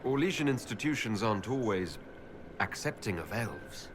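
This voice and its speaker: An actor playing a male elven Inquisitor in a video game, a considerate leader with a measured, questioning way of talking. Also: fairly low pitch, big pitch swings, noisy background. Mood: curious, considerate.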